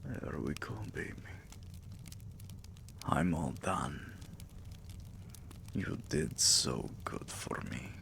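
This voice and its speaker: A man playing a tender, possessive vampire king in an intimate ASMR roleplay, murmuring soothingly and often whispering, with a deep, gravelly voice. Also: pushed and bright, exaggerated voice. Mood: sated, praising.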